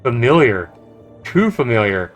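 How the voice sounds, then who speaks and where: A man's voice: medium pitch, very animated, measured pace. A man livestreaming a visual novel, reading the text aloud dramatically and reacting expressively as he comments. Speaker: game streamer. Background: game music.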